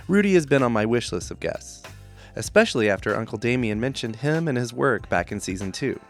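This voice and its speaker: A male podcast host with a gravelly, fry-heavy voice at a mid pitch. He talks in a friendly, chatty way, and a bit of music plays softly behind him. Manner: friendly, chatty, encouraging interjections. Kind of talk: podcast narration and interview.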